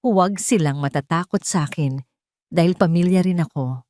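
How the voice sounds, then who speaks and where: A woman voicing a deceitful rival woman in an audio drama, soft, coaxing and falsely humble. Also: on the low side, moderate pace, no room sound, natural voice. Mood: falsely sweet.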